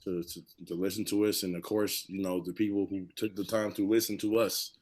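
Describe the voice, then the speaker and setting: A man giving casual, heartfelt remarks on a panel, halting and repeating phrases for emphasis. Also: low-pitched, flat delivery, darkish tone. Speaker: monologue storyteller on a panel.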